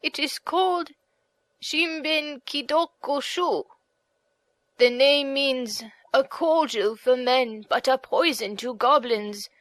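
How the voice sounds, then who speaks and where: Audiobook role: venerable old male deity in human guise played by a woman, high-voiced, slow and solemn, dry close sound. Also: fairly bright tone, dry recording, low-quality recording. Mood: solemn, instructive. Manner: measured, solemn, instructive. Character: venerable old god disguised as an old man, solemn benefactor. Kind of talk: audiobook character reading.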